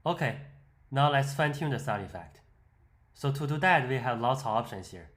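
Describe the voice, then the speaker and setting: A man giving an explanatory, step-by-step voice-over for a software demonstration. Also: medium pitch, animated, normal speed. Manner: step-by-step, instructional. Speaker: software tutorial presenter. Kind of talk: explanatory screen-demo voice-over.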